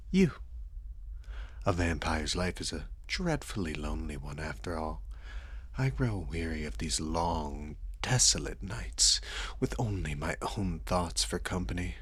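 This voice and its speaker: A man's voice: slightly rough, no room sound, exaggerated voice. A man acting out a seductive, menacing vampire lord in an ASMR roleplay, with theatrical mockery and possessive endearments. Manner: slow, theatrical, mocking endearments, frequent low chuckles.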